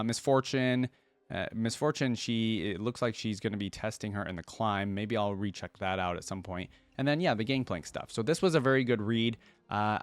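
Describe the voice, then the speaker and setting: Low-voiced man doing casual reaction commentary. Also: no echo. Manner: slangy, addresses viewers as guys. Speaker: reaction video host.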